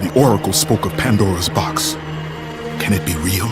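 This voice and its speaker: A man playing a fierce, brooding Spartan warrior with a gruff, intense, terse delivery in a video game. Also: deep voice, normal speed, lightly acted. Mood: grim, intense. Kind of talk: video game cutscene acting. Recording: loud music over the voice.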